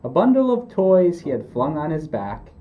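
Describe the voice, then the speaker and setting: A man's voice: fairly high pitch, big pitch swings, normal speed, phone-quality sound. A man reading a holiday poem aloud expressively.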